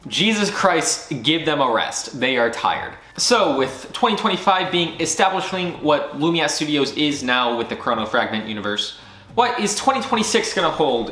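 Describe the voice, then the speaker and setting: A man talks through his year in a bright, forceful voice that sits at an ordinary pitch. He rambles eagerly, restarting sentences and correcting himself as he goes. The recording sounds echoey, as if made in a bare room. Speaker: channel creator recapping the year. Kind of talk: casual solo recap to camera.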